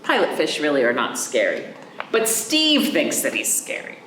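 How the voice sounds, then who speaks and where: A woman's voice: low-pitched, wildly swooping pitch, normal speed, a bit bright. A woman children's librarian chatting to young children, explaining things simply and asking the listener questions. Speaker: children's librarian hosting virtual storytime. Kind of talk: storytime talk to young children. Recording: roomy echo, clear background noise.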